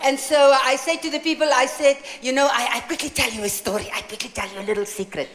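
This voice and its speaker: A woman in her sixties preaches in a lively, playful way, laughing often as she speaks. Her voice sits high. The sound carries a long hall reverb. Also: a little fast. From South Africa. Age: 60s. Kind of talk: sermon through an interpreter. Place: large hall with audience.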